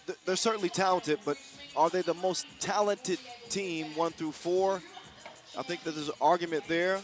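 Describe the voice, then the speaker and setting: Lively male basketball play-by-play caller. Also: a little high. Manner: energetic, jokey, rises to shouts on big plays. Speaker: play-by-play commentator. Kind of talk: live basketball commentary. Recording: music playing behind the commentary.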